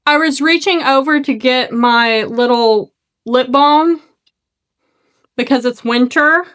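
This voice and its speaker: A young woman with an Arkansas drawl and a slight lisp talks in a fairly high voice, chatty and self-deprecating. She rambles and trips over her words and laughs at herself. It is recorded on a phone at home. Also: slow, long pauses, fairly bright tone, smooth. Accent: Southern US, Arkansas. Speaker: makeup vlogger. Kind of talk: casual makeup vlog chat. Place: at home, seated. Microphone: phone camera.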